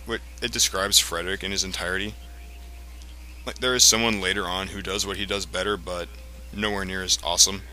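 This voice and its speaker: A man giving casual, explanatory gameplay commentary in a halting way, as the host of a let's play. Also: low-pitched, fairly even intonation, pushed and bright, a little hiss or hum. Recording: game music behind the voice. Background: video game music.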